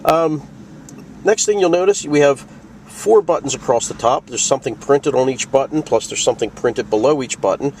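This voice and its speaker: A man of medium pitch presents a casual product tutorial. He explains each step in plain, practical words. The mic sits some way from him, with faint vehicle noise behind the voice. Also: normal speed, a little mellow, distant mic. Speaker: video host demonstrating a radio.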